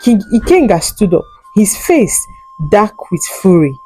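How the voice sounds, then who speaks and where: Slow, dramatic woman storyteller with lively pitch. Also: breathy edge, soft background music. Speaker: storyteller, narrator. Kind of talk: scripted folk tale narration, dramatic.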